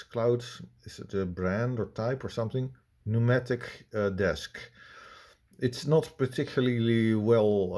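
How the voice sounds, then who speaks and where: A man with a Dutch accent giving unscripted critical commentary in a halting, blunt, complaining way. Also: on the low side, darkish tone. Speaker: video reviewer.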